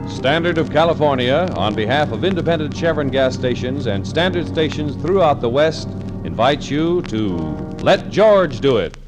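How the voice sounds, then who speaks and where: Booming old radio announcer over organ. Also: male voice, lively intonation, normal speed. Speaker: sponsor announcer.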